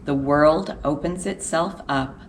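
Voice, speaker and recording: A woman reading a children's picture book aloud in a measured, expressive storytelling manner with deliberate pauses. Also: low voice, slight background noise.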